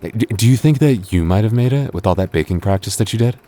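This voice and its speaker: A man playing a devoted, earnest young boyfriend in an intimate audio roleplay, speaking haltingly with stammers. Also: lowish voice, animated, mellow, lightly acted. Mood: affectionate, wistful. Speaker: voice actor.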